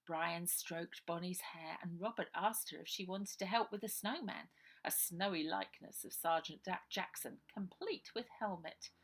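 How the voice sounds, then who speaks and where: A woman's voice: fairly low pitch, moderate pace. A woman reading her children's novel aloud as a dramatic storybook narration.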